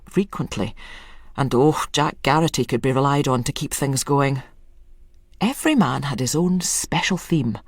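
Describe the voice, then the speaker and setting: Husky, expressive story narrator. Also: medium pitch, no room sound, clean studio sound. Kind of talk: scripted literary narration.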